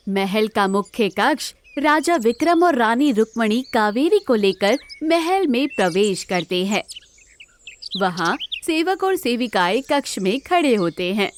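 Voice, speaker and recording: A woman's voice: a little high, slight background noise. A woman narrating a scripted storybook tale.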